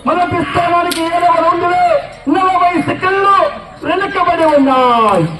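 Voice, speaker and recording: A man commentating on a rural race as live announcer, calling out excitedly with drawn-out whoops and repeated calls. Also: very high voice. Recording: noisy echoing loudspeaker outdoors, loud crowd. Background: loud crowd and noise.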